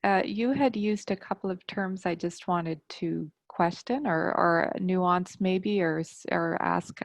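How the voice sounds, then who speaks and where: Gravelly, low-voiced woman on a video call. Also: no room sound. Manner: measured, precise, qualifying her points. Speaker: workshop participant, university researcher. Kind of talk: online workshop discussion.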